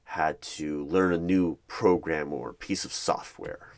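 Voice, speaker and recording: A man in an ASMR roleplay as a hiring manager, asking interview questions in a measured, deadpan manner. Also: a bass voice. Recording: clear background noise with keyboard typing. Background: computer keyboard typing and clicks.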